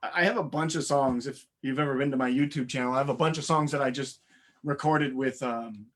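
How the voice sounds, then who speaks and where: Young man, raspy voice, modest and rambling interview guest, mid-range pitch. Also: brisk, a bit bright. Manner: modest, rambling, many fillers and restarts. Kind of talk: casual interview chat.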